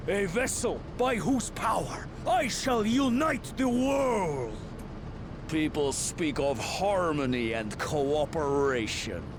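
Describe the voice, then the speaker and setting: Grandiose, swooping tyrant villain. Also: male voice, sits high, background noise, exaggerated voice. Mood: exultant. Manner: grandiose, theatrical, sneering. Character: pompous, megalomaniac male tyrant villain bent on conquest. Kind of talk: video game cutscene voice acting. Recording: loud orchestral music behind. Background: dramatic game music.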